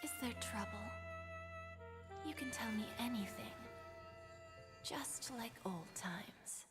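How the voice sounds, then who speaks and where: A woman voicing a composed senior woman executive in a video game, soft, hushed and smoothly persuasive. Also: a little high, slow pace. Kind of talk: video game character dialogue. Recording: game audio with background music.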